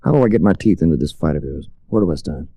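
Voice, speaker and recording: A male actor with an American accent playing a tough, smitten adventurer hero who narrates his own story in measured 1940s radio drama style. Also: rumbling low, lively intonation, mellow, no echo, full character voice. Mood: intimate, probing. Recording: restored 1940s radio recording.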